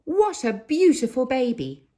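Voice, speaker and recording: A woman's voice: a little high, huge pitch swings, normal speed, darkish tone, husky, voice pushed up, exaggerated voice. Mister Bird, an eager doting father bird, read by a woman. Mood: insistent. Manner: firm, reassuring storybook voice.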